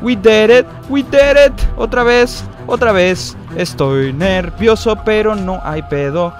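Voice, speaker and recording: Excitable high-voiced Mexican young man. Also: takes it slowly. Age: young adult. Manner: excitable, chatty, slangy, frequent high-pitched outbursts. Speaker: gaming streamer. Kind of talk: live gameplay commentary. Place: indoor room at a desk, facecam. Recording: game music underneath. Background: game music.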